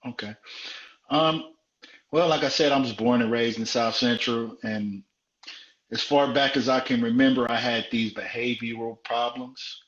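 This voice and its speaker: A man's voice: mid-range voice, steady tone, unhurried, a bit bright. A man in his forties from South Central Los Angeles tells his life story in a frank, conversational way as a guest in a live interview. He repeats phrases for emphasis. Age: 40s. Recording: dull, roomy live-stream audio.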